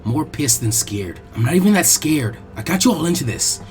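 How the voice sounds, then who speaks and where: A man's voice: fairly high pitch, very animated, moderate pace. A defiant young ninja boy, acted by a grown man, brash and hot-headed. His lines come out angry and blunt in an audio storybook, with faint music underneath.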